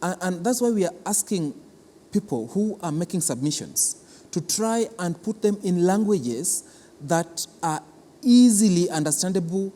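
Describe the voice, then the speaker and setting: A man's voice: high voice, expressive, a little slow, dark tone, a little hiss or hum. Kenyan man explaining in a reverberant hall. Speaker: cultural centre official, interview guest. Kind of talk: explanatory interview answers.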